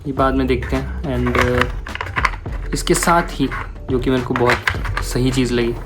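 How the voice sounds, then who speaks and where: A man's voice: mid-range voice, flat delivery, takes it slowly, over loud music. An Indian man talking casually as a vlogger, informally addressing viewers as friends and mixing in English phrases as he walks through things. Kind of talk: casual vlog talk, unboxing and product walkthrough.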